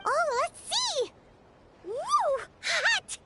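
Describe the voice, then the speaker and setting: A woman voicing a tiny, childlike, excitable and curious fairy companion in a video game, with a squeaky voice. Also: drawn-out words, heavy background noise, heavily acted. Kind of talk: English video game voice acting. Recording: game audio with prominent background music.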